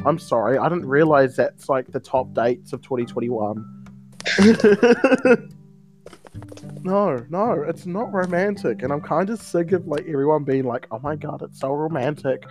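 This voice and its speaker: A young man chatting loosely on a podcast, trailing off into fillers and laughing often. His pitch sits mid-range, with faint music in the background. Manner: rambling, with lots of laughter and fillers. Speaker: podcast co-host. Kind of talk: casual joking chat.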